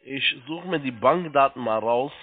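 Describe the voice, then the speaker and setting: A man working as a call-centre agent, giving halting step-by-step instructions, repeating himself and spelling things out. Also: medium pitch. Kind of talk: step-by-step phone sales instructions. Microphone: telephone. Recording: tinny narrow phone line.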